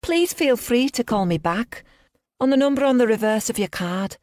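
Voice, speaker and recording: A woman's voice: fairly high pitch, animated, moderate pace, darkish tone, dry recording, voice pushed up, close to an everyday voice. Scam caller posing as a bank agent, strong Liverpudlian accent, raised and formal, as a woman narrator puts her on; dry, close read. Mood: smooth, insistent. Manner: overly polite, formal.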